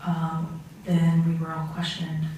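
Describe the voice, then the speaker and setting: A woman playing a humble 47-year-old immigrant man giving halting testimony on the witness stand in an amateur mock trial. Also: low voice, completely flat delivery, mellow. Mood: somber, halting. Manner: halting, hesitant, frequent ums and pauses. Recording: echoey room, far from mic, faint noise.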